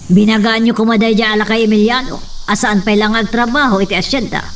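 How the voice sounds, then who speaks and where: An actress plays a commanding Ilocano woman in a radio-style drama. Her voice sits fairly high and has a stern edge. It is picked up away from the mic over faint background noise. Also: steady tone, quick pace, far from the mic, lightly acted. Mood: stern, demanding.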